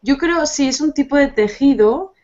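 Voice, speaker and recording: A young woman with an accent from Spain, teaching a friendly online conversation class, encouraging and quick to laugh. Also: high voice, animated, a little mellow. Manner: bubbly, encouraging, laughs often. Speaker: Spanish teacher. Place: small room at home. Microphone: webcam video call. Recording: dull lo-fi video call audio.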